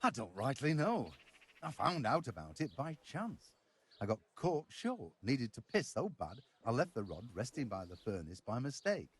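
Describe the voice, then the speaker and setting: Blunt, animated medieval blacksmith. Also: male voice, mid-range voice, normal speed, slightly rough. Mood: frank, reflective. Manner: blunt, sweary, folksy turns of phrase. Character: gruff, proud, down-to-earth medieval village blacksmith, scornful and earthy. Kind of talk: video game voice acting.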